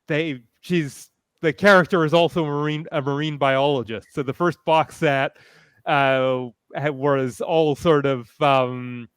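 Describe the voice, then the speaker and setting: Slow-paced, clear man explaining on a fan panel. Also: mid-range voice, dry recording. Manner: measured, explanatory. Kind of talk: informative casual fan discussion. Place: indoors, online panel call.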